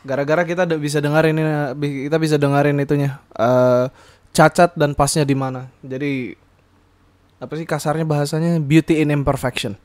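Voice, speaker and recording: Young man, podcast host, rambling casual chat, many fillers, slightly high voice. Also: steady tone, a little fast, darkish tone. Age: young adult. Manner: rambling, many fillers and self-corrections, laughs often.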